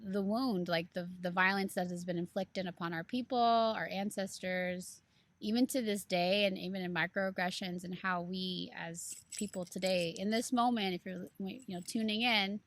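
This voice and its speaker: A woman's voice: mid-range voice, fairly even intonation, normal speed, no echo. A woman co-hosting a casual book club podcast, talking earnestly and rambling with plenty of "like" and "you know".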